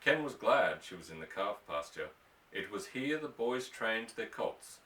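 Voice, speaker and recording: A man's voice: fairly low pitch, big pitch swings, measured pace, sharp tone. A man reading a story aloud as an audiobook narrator, in a scripted narration style. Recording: echoey room, distant microphone.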